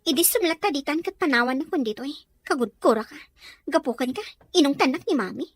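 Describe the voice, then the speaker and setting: A woman acts as a rebellious, resentful young daughter with an Ilocano accent. The voice is extremely high and swings widely in pitch. It is podcast comedy-drama, full of grievance. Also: lively intonation, quick pace, no echo. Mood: drunk, resentful.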